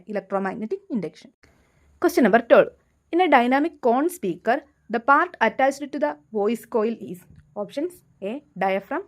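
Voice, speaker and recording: Slow, mellow Malayali woman tutor. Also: a little high. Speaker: exam tutor. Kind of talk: scripted quiz lesson, reading questions and options.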